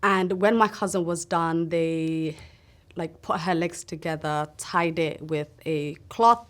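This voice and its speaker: Woman from somalia telling her story in an interview, kinda low voice, earnest and halting. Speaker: interview guest. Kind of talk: personal testimony in a sit-down interview.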